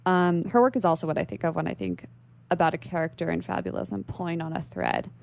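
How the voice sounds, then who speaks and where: A woman's voice: on the low side, fairly even intonation, brisk, creaky, darkish tone. A woman speaking as an interview guest in a literary radio discussion, articulate and bookish.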